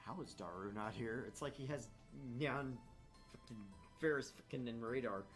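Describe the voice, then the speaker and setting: A man's voice: mid-range voice, moderate pace, soft background music. A man hosting a gaming video, reading a visual novel aloud and adding casual, joking commentary.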